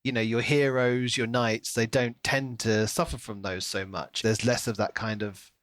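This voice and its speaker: A man co-hosting a podcast, talking through a book in a casual, animated way. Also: medium pitch, moderate pace, dry recording. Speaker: podcast co-host.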